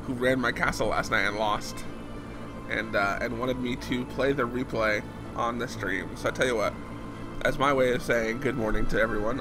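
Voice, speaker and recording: A male game streamer with a hoarse, fading voice, chatting casually and answering viewers while he plays. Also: medium pitch. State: hoarse, losing his voice. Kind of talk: casual live-stream chat while gaming. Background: loud game music.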